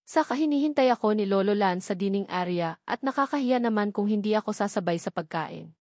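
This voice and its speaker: A woman's voice: mid-range voice, expressive, fairly quick, a little mellow, no room sound, clean studio sound. A woman with a Filipino accent reading a first-person romance novel as a scripted narration. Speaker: audiobook narrator.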